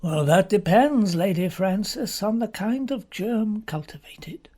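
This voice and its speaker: A man reading the part of a grave, sinister middle-aged male country doctor in an audiobook, asking slow, deliberate, probing questions in a breathy voice. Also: high voice, lively intonation, darkish tone, pitched above the performer's own voice, heavily acted.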